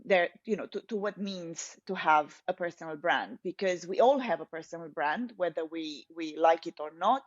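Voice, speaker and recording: A woman with a Romanian accent speaks as a guest in an interview, her voice sitting a little low. She feels her way through sentences with frequent pauses and hesitations. The recording is close and dry but slightly dull and lo-fi. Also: no echo. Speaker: podcast guest, personal branding specialist. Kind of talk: interview answer, recounting personal story.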